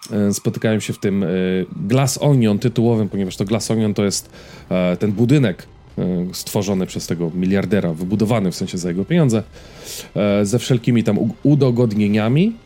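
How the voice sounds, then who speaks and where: Mellow-voiced man chatting over music. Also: fairly low pitch. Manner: hesitant, searching for words, checking in with listener. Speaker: podcast co-host reviewing films. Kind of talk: casual conversational film review.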